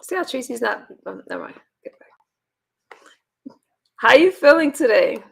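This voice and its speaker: A hoarse woman hosting a casual livestream, rambling and talking straight to her chat. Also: on the high side, very animated, measured pace, a bit bright. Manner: rambling, talks to the chat directly. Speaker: livestream host.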